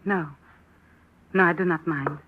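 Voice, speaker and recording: An actor playing a grieving young woman in an old radio drama, speaking softly. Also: fairly high pitch, fairly even intonation, a little slow. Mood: hushed. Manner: soft, hushed. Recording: tinny old radio recording.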